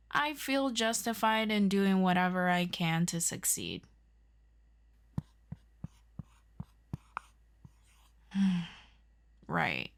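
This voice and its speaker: An earnest young superhero sidekick acted by a woman in an audio roleplay, talking straight to a close friend like a patient tutor. The voice is medium in pitch and takes its time, yet stays chatty, excitable and expressive. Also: full character voice. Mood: uneasy, trying patience. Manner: chatty and expressive, with interjections and sighs. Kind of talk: ASMR audio roleplay, scripted one-sided conversation.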